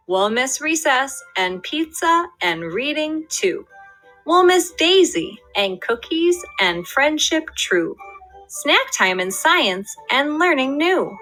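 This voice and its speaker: A young woman teacher reading a storybook aloud to her first graders. Her voice is bright and high, with slow, lively, sing-song inflection. Faint music plays softly behind her. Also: poor audio. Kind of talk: reading a picture book aloud to children.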